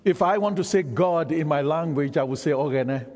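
A man's voice: on the high side, normal speed, darkish tone. A man, Isoko his tongue, preaching a sermon and engaging the congregation with questions. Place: church hall. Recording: reverberant hall.

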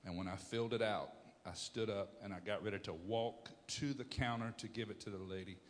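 A pastor in his fifties preaching a sermon, emphatic, swinging from loud bursts to hushed storytelling. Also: fairly low pitch, fairly even intonation, fairly quick, long reverb tail.

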